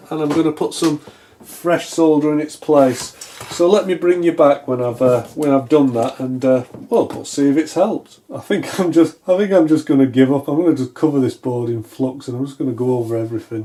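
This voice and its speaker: A man's voice: on the high side, dark tone, a little hiss or hum. A man giving a casual hands-on repair commentary, tentatively thinking aloud as he works. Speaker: electronics repair hobbyist, video host. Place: indoors at a wooden workbench.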